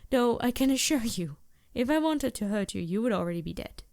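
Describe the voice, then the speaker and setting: A woman voicing a polite, anxious and gentle vampire with formal, earnest phrasing in a fantasy audio roleplay. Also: fairly high pitch, animated, fairly quick, creaky. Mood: calm assurance. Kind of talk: fantasy ASMR roleplay acting.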